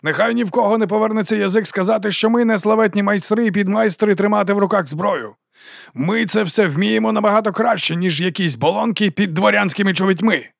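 A man's voice: sits high, brisk, vocal fry, no echo, studio quality, voice pushed up. A man voicing a flamboyant, roguish mercenary captain in an audiobook, theatrical and self-mocking as he rallies his troops in a grandiose oration. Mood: grandiose, rousing. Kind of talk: audiobook character acting.

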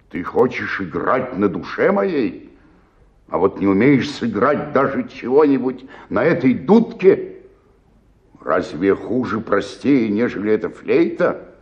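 An aging stage tragedian, grandiose and self-pitying. His delivery is theatrical and unhurried, leaping from murmur to roar across a very wide range. It is a radio play with a slightly dull sound. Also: medium pitch.

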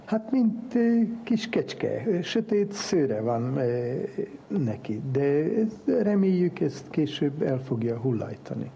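A man playing an earnest foreign geneticist in a mock interview, speaking broken Hungarian with a foreign accent, haltingly mixing in English terms. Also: on the high side, big pitch swings, gravelly, mellow. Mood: defensive, matter-of-fact. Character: earnest foreign cloning scientist from an island dictatorship, broken Hungarian. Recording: muffled lo-fi with faint background noise.

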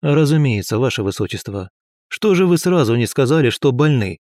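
A seasoned general with a practical, matter-of-fact way of talking, read by a man. The voice is mellow and dark, and the recording is dry and close. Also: medium pitch, lively intonation, normal speed, no room sound, natural voice. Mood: concerned. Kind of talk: audiobook character voice.

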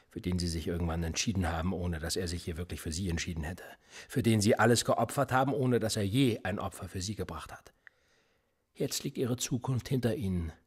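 An actor playing a cool, probing police officer who interrogates a suspect in a radio play, with a calm, dry, needling manner. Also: male voice, lowish voice, slightly rough.

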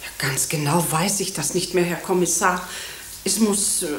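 An actress playing a dutiful hospital nurse who answers questions hesitantly and carefully in a radio drama. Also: female voice, on the low side, normal speed, natural voice. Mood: hesitant, unsure. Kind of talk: German radio drama acting. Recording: roomy echo with faint hiss.

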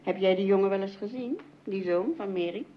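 A woman's voice: mid-range voice, brisk, lightly acted. An actress playing a caring, mildly worrying middle-aged mother in a Dutch radio play. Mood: worried. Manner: expressive, gently admonishing. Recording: muffled radio recording, faint noise.

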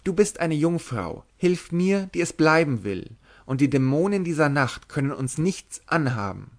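A young noble lady of a gothic storybook, voiced by a male narrator, anxious and devout. The voice is lifted in pitch and pleads fervently, with a tremble of fear in the formal, literary phrasing. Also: sing-song, moderate pace. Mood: urgent pleading. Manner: stammering, fearful, fervent pleading. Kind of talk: dramatic literary audiobook reading.

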